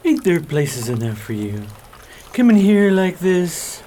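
A woman's voice: lowish voice, very animated, a little slow, mellow, slight background noise. A woman playing a pushy, rude café patron in a radio drama.

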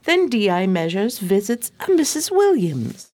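A woman playing a loyal, long-suffering detective's assistant, narrating and acting in a slightly prim, expressive radio play style. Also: medium pitch, a little slow.

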